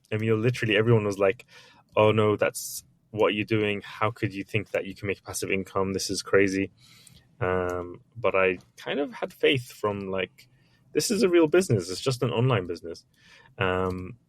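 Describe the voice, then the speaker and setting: A man's voice: lowish voice, dry recording. A man, an author and entrepreneur, answering interview questions in a candid, chatty way with frequent restarts. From Iraq, raised in England. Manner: candid, chatty, with many restarts and little acted quotes.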